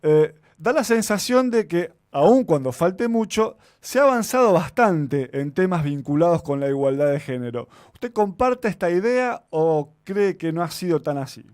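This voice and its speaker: A man's voice: sits high, animated, moderate pace, hardly any pauses, darkish tone, no echo, studio quality. A man, hosting an interview and asking polite, somewhat hesitant questions. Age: 30s. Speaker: host.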